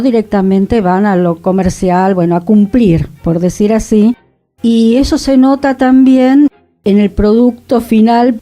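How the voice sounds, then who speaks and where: A woman, the director and co-host of a radio show, talking in a thoughtful, unhurried way. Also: medium pitch, mellow. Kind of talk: relaxed radio conversation.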